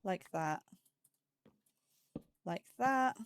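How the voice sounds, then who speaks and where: A woman hosting a slow game series, chatting to herself as she builds, with phrasing and startled yelps when danger appears. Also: medium pitch, animated, no echo. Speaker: let's play host. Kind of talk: casual gameplay commentary.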